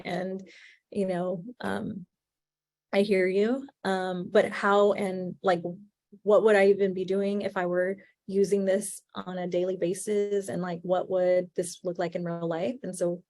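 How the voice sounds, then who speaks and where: A woman's voice: mid-range voice, darkish tone, dry recording. Chatty woman therapist teaching, dry sound. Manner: chatty, many fillers, laughs easily. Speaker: therapist presenting a webinar. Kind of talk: informal webinar teaching.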